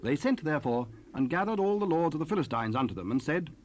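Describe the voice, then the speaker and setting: A man's voice: a little high, big pitch swings, fast talker. A man narrating scripture in archaic English for a dramatized Bible recording. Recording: noisy raw cassette rip.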